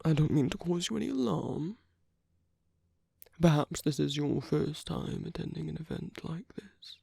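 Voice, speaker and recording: A performer playing a suave, flirtatious villain at a royal masquerade in an ASMR audio roleplay, speaking softly and breathily with teasing, lingering pauses, close to the microphone. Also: mid-range voice, expressive, dry recording, exaggerated voice. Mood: sly, coaxing. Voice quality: breathy, soft, often near whisper. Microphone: close dry microphone.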